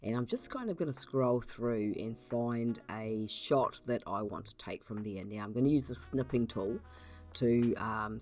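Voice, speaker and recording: A woman with a croaky voice from being unwell, hosting a casual software tutorial and explaining her steps in a chatty way with many ums. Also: extremely low pitch. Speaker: YouTube channel host.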